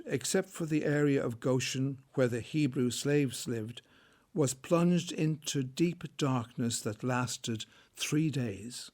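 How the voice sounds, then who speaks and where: A man narrating a scripted biblical drama in a deliberate, solemn storytelling manner. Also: mid-range voice, a little mellow.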